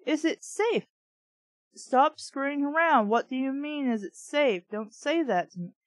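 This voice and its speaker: A flustered college-age sister, played by a woman storyteller, argues in a fairly high voice. She sounds confused and annoyed, repeating herself slowly as in an acted anecdote. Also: animated, no room sound. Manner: confused, repetitive, arguing.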